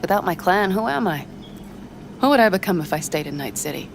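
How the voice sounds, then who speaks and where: An actress playing a tough, hot-headed young nomad smuggler in a video game, with blunt, colloquial, sweary delivery. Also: female voice, on the low side, animated, brisk. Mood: torn, reflective. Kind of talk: video game cutscene acting. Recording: clear ambient vehicle noise behind.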